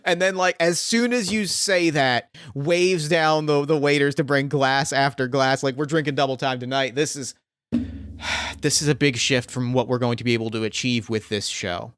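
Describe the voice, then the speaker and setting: A man working as game master, narrating and questioning a player in a halting, think-aloud way. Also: mid-range voice, fairly bright tone, clear, resonant tone, no echo, studio quality. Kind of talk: improvised tabletop game narration.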